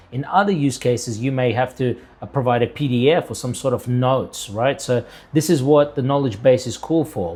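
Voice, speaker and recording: A man in his thirties giving a casual, conversational software tutorial, explaining step by step and often checking in with the listener. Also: mid-range voice. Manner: conversational, uses everyday analogies and tag questions like "right?". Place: home room with a window, indoors.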